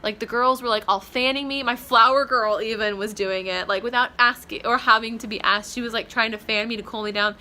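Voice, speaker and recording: A woman's voice: fairly high pitch, steady tone, fairly quick, few pauses, pushed and bright. A young woman vlogging casually about her day, rambling and chatty with lots of fillers, from a hotel room. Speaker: vlogger, bride. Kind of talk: casual vlog chat.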